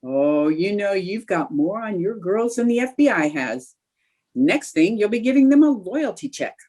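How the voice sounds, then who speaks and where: A woman playing an eager, scheming, chatty young housewife in an old-time radio comedy, with a husky voice. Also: medium pitch, very animated, normal speed, dark tone. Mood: teasing, curious. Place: indoors, remote video call.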